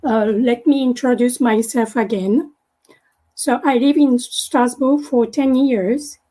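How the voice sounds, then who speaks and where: A young woman with a Japanese accent, a native Japanese speaker, giving an academic presentation online and haltingly searching for words. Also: on the high side, fairly even intonation, warm tone, low-quality recording. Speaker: guest speaker, graduate student. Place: online video meeting.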